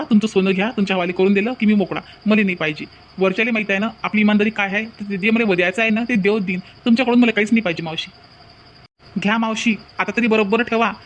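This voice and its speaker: A man's voice: high-pitched, close to an everyday voice. A man voicing an honest, humble young working man in a cartoon, speaking rustic Vidarbha Marathi in a plain-spoken way. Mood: modest, polite. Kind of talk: comedy cartoon dialogue.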